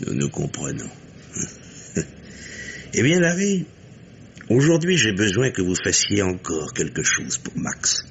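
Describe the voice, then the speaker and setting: Radio drama wealthy father in his fifties: very low voice, animated swings, formal, deliberate, blunt. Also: creaky, highs cut off, a little hiss or hum, exaggerated voice. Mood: grave, confiding. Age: 50s. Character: fifty-five-year-old industrialist father, positive, discreet, blunt. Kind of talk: 1950s French radio drama acting.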